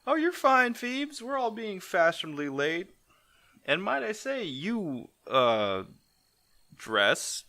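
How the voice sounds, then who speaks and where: Cocky male heist-crew wisecracker, played by a man: bright voice, swooping pitch, teasing and theatrical, audio drama style. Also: big pitch swings, slow, dry recording, heavily acted. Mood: flustered teasing. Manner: theatrical, teasing, exaggerated delivery.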